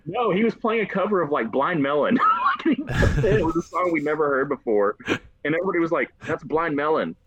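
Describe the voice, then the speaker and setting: An American man chatting casually and telling rambling, animated stories as a podcast host. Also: on the high side, fairly quick, husky. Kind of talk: casual conversational storytelling. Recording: slightly dull lo-fi, dry close sound.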